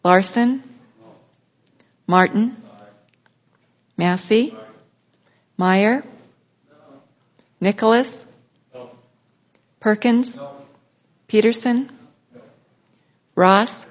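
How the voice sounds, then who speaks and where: A woman clerk calling the roll in a legislature, reading names one by one in a crisp, even way. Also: medium pitch, extremely slow, pauses often. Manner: crisp, repetitive name reading. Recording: dull lo-fi chamber audio.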